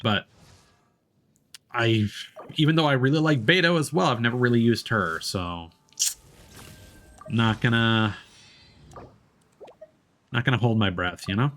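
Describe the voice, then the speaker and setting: A man's voice: mid-range voice, measured pace, pauses often, fairly bright tone, a little hiss or hum. A man livestreaming a video game, thinking aloud in a casual, rambling way and swearing now and then. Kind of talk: casual gaming stream chat.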